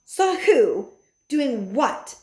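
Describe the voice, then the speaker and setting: A man's voice: high-pitched, very animated, a little fast, reverberant, pitched above the performer's own voice. Blustering pompous husband, read by a woman. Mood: shouting angrily. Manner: shouting, indignant. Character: blustering middle-aged man, rude and pompous.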